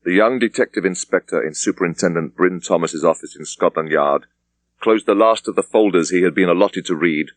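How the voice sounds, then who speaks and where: A man with an English accent reading a thriller audiobook in a measured, precise way. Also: sits low, dry recording. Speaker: audiobook narrator. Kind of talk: scripted thriller narration.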